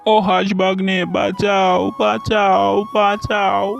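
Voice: medium pitch, level tone, slow, creaky. An actor voicing a sly, greedy fox uncle in a cartoon, with a scheming, falsely friendly manner. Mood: panicked pleading. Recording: music bed behind the voice.

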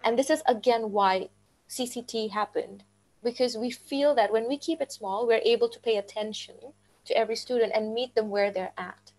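A woman's voice: on the high side, normal speed, darkish tone, poor audio. A woman co-hosting a live webinar, speaking earnestly in casual chat full of "like" fillers. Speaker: webinar co-host, teacher. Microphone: video call.

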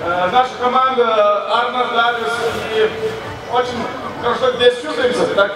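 A man answers slowly, pausing often to find words. His fairly high voice carries through booming speakers from far off. A crowd is heard behind. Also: fairly even intonation. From Lithuania. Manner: hesitant, with many pauses and fillers. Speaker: drift driver. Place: outdoor drift track. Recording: echoey PA sound from far off with crowd.

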